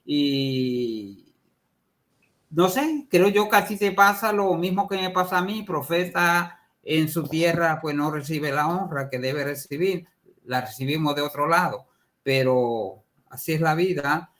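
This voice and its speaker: A man's voice: a little high, measured pace, fairly bright tone. A man from Puerto Rico with a Puerto Rican accent, hosting a conversational interview, enthusiastic and affirming. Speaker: host.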